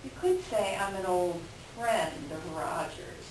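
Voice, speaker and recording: A woman's voice: lowish voice, lively intonation, slow pace. A woman playing a scornful, hard-nosed ex-wife in a staged reading, sardonic and biting. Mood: cool, mocking. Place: echoey room, far from the mic. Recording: distant echoey room with hiss.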